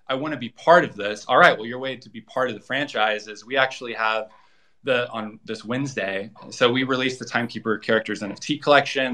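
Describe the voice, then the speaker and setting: Male interview guest, bright tone, conversational pitch style, explanatory with casual tags. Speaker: guest, project founder.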